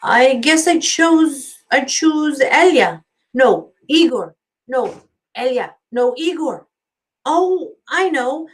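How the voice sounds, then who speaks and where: A woman's voice: high-pitched, animated, slow pace, voice pushed up. A woman voicing a naive, dithering teenage village girl in a storybook, hesitant and wavering. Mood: ditzy, undecided.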